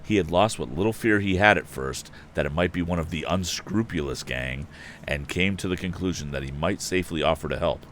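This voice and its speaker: A man's voice: low voice, fairly quick, fairly bright tone, slight background noise. A man hosting a live story reading, narrating expressively and dramatically with casual asides. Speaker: host and reader of a live story stream.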